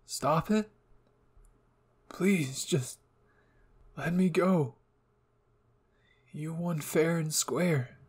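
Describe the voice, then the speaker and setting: Man performing a young anime villain, wounded, remorseful, soft, halting, raspy, slow-paced. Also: on the high side, exaggerated voice. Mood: pleading. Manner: halting, weak, pleading. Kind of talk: anime ASMR roleplay acting.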